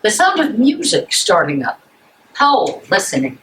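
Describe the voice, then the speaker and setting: A woman's voice: medium pitch, wildly swooping pitch, moderate pace, fairly bright tone. A woman narrating stage directions from a script at a live play reading. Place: public library meeting room. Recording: echoey room, live reading.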